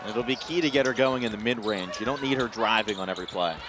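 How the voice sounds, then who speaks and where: A man's voice: fairly low pitch, quick pace. A man giving conversational live sports analysis as a color commentator. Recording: noisy gym ambience, bouncing balls. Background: basketball game noise.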